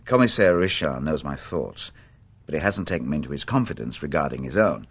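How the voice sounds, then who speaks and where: A shrewd police inspector in a radio play, with a low voice that moves freely up and down. He is dogged yet deferential, choosing understated, guarded words. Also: expressive, a little fast, breathy edge, exaggerated voice. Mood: respectful, insistent. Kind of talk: radio drama acting.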